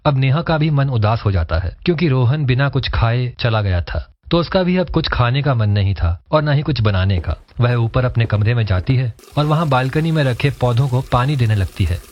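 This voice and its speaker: A man narrating a cartoon story in a steady, scripted storytelling manner. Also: mid-range voice, moderate pace, hardly any pauses. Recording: dull lo-fi voice-over.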